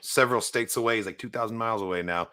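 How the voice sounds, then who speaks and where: Host: New Zealand man, lowish bright voice, upbeat casual fan chat. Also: very fast, smooth. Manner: enthusiastic, laughs often, fillers. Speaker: podcast host.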